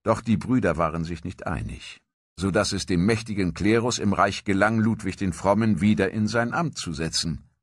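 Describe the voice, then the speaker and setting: A man's voice: sits low, normal speed, no room sound, clean studio sound. A man reading scripted historical documentary narration.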